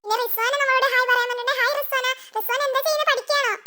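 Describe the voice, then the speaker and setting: A woman hosting a cartoon channel, giving casual, chatty shout-outs to viewers in a repetitive greeting pattern. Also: extremely high pitch, fairly even intonation, very fast, background noise.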